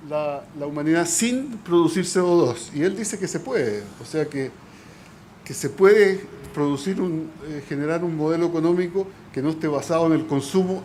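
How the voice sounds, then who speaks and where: A man's voice: on the high side, a little mellow, slight background noise. A man speaking as a scientist on a conference panel, hesitant, with many fillers and restarts. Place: auditorium.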